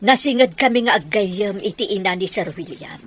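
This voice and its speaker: Scolding, outspoken household servant. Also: female voice, medium pitch, animated, normal speed, a bit bright, slightly rough. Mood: indignant. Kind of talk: radio drama acting.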